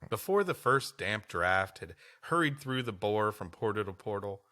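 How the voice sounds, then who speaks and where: A man's voice: on the low side, big pitch swings, moderate pace, pushed and bright. A man co-hosting a comedy podcast, moving between scripted storytelling and bantering chat.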